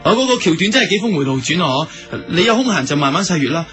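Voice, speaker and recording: An actor with a Hong Kong Cantonese accent playing a naive, lovesick young shop owner, gushing and stammering in a radio drama. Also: male voice, on the high side, big pitch swings, quick pace, a bit bright. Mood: sheepish, awkward. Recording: music behind the voice.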